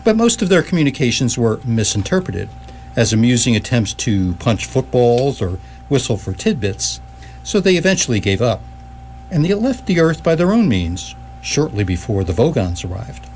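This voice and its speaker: A man's voice: mid-range voice, big pitch swings, normal speed, darkish tone. A man giving scripted comic narration as the storyteller of a radio drama. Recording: clearly noisy recording with hum.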